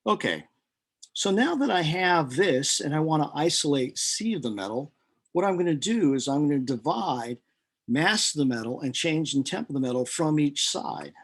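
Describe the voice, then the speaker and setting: Husky-voiced man explaining chemistry steps. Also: on the high side, expressive, normal speed. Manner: conversational, thinks aloud with fillers and self-corrections; dry self-deprecating asides. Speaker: chemistry instructor. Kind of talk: recorded lecture explaining a lab calculation.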